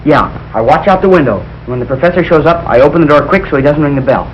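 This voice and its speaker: An actor playing an eager, obedient American son in old broadcast sitcom style. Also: male voice, a little high, quick pace, close to an everyday voice. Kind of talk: 1940s-50s broadcast sitcom acting. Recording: muffled, noisy old broadcast recording.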